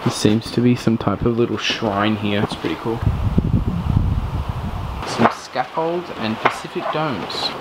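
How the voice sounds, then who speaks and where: A man narrating an urban exploration vlog in a casual, sometimes hushed way, with wry asides. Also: fairly low pitch, lively intonation, measured pace, pauses often, very noisy. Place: abandoned half-built dome house outdoors and indoors.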